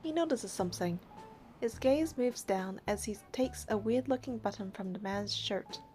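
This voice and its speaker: A woman's voice: mid-range voice, normal speed, darkish tone, soft background music. A woman doing a game playthrough, reading narration and heroine lines aloud and commenting casually.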